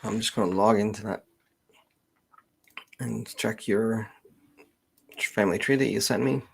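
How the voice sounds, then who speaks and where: Gravelly, low-voiced man. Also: fairly even intonation. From Canada. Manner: halting, thinking aloud. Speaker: genealogist hosting a live Q&A. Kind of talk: unscripted livestream chat.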